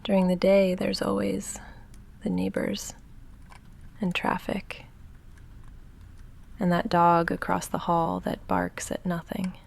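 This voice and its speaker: A woman playing a young goth vampire roommate in an ASMR roleplay, speaking softly and often whispering in a dry, halting, self-deprecating way. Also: medium pitch, heavily acted. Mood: dry teasing, then hushed, wry. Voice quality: soft, often whispered, breathy fry.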